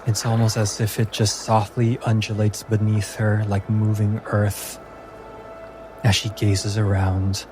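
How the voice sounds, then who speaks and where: Slow-paced man narrating over music. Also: fairly low pitch, completely flat delivery, low-quality recording. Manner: measured, deliberate storytelling. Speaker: game master. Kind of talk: dramatic tabletop game narration.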